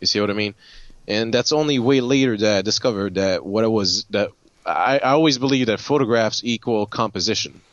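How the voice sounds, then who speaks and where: A man from Haiti telling a personal story casually, in a halting way with repeated words. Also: lowish voice, fairly bright tone, dry recording, dull audio. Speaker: guest photographer and designer.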